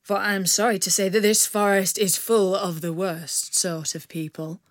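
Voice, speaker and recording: A woman voicing a gallant young male outlaw leader, speaking in a courtly, polite and unhurried way. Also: mid-range voice, steady tone, no echo, clean studio sound, close to an everyday voice.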